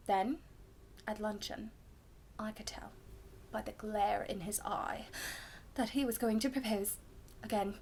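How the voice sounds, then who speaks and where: A woman's voice: mid-range voice, fairly even intonation, takes it slowly, pauses often, darkish tone, slight background noise. A woman performing a witty, pampered young society lady in a comic stage monologue, with an arch, mock-indignant manner and formal phrasing. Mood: scandalised, confiding.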